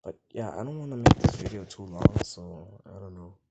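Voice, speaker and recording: Deep gravelly young man, noisy recording. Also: lively intonation, moderate pace. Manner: rambling, soft and mumbled at times, hard to hear. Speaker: gaming vlogger. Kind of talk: casual rambling talk to camera. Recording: loud background noise with mouse clicks.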